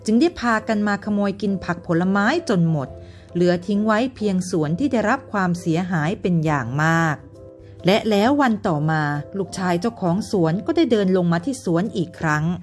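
Lively woman telling children's stories. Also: medium pitch, a little fast, faint music behind. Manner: gentle, sing-song with children. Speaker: storyteller and mother. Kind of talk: children's bedtime story narration.

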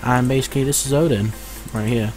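A man's voice: mid-range voice, steady tone, brisk, low-quality recording. A man giving a casual, reassuring step-by-step tech tutorial in an explanatory way. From United Kingdom. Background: music.

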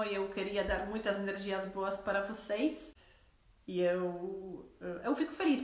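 A woman's voice: mid-range voice, roomy sound. A woman in her forties with a Polish accent, chatting to camera in her living room, often restarting phrases and laughing between them. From Poland. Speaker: vlogger. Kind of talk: casual chat to camera. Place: living room at home with fireplace.

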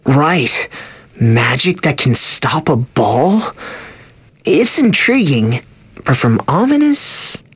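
Casting: composed, weary game heroine, mid-pitched husky voice, unhurried and dry. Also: female voice, sing-song, no room sound, full character voice. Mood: weary, thoughtful. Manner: measured, subdued.

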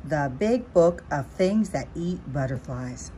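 A woman reads a children's story aloud with unhurried, expressive delivery. Her voice sits a little low and moves through a lively range, with faint noise behind it. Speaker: storybook reader.

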